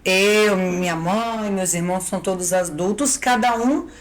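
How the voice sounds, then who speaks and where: A woman's voice: medium pitch, unhurried. Curious Brazilian woman interviewer. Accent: Brazilian Portuguese. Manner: curious, asks follow-ups with many affirmations. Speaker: podcast host, interviewer. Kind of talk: conversational interview.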